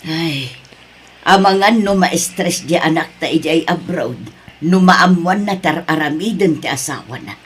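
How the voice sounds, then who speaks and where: A woman's voice: low-pitched, measured pace, slightly rough. A woman playing a worried, protective elderly grandmother in a family audio drama.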